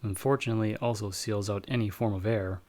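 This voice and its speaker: Give this role a fairly low male voice from a man acting it out. He is a watchful bounty hunter who knows magic and monsters. He speaks to his companion in measured, slightly formal explanations, in the style of an ASMR audio roleplay. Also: fairly even intonation, a little mellow, natural voice. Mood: calm, watchful.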